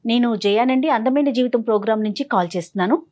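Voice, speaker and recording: A woman's voice: fairly high pitch, quick pace, darkish tone. A woman hosting a counselling talk show in speech, polite and mixing in English words. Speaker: TV programme host.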